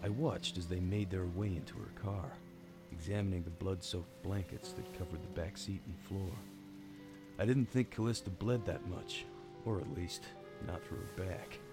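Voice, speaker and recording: An actor playing a world-weary, hard-drinking detective in a noir radio play, with wry, understated delivery. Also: male voice, sits low, normal speed, music in the background, lightly acted. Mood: wry, brooding. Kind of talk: noir radio drama narration.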